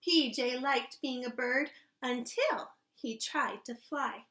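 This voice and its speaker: A woman kindergarten teacher reading a storybook aloud expressively, pausing to ask young listeners questions. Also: sits high, fairly bright tone, a little hiss or hum.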